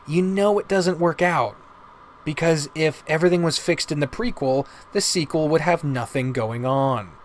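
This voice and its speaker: A man with a mid-pitched voice talks as a casual video reviewer over noticeable background noise. He rambles through his opinions and swears freely and often. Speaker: video host reviewing visual novels.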